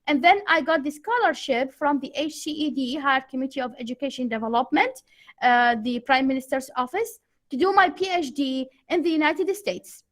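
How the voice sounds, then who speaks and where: A woman's voice: high-pitched, normal speed. A woman with an Iraqi Arabic accent leading an online English class in a chatty, encouraging way over a video call. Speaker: English teacher, course host.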